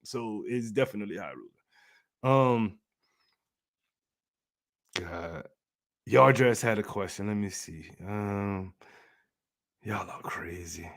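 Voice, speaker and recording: A man's voice: fairly low pitch, takes it slowly, vocal fry, pauses often, a bit bright. A man hosting a gaming channel livestream, casually chatting and answering viewers in a slangy, playful, rambling way. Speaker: YouTube host of a gaming community channel.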